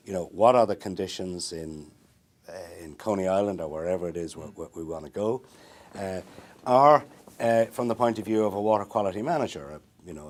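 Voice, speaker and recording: A man's voice: sits low, a little mellow. A man, explaining ideas in long, thoughtful sentences during an interview. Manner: thoughtful, many fillers and restarts, long building sentences. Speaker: marine research interviewee. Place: small indoor interview room.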